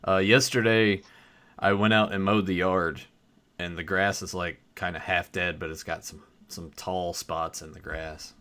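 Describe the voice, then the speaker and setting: A man's voice: low-pitched, measured pace. A man co-hosting a podcast in casual chat, sounding a little stuffy, rambling with plenty of fillers. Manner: relaxed, rambling with many fillers. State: stuffy from allergies. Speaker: podcast co-host.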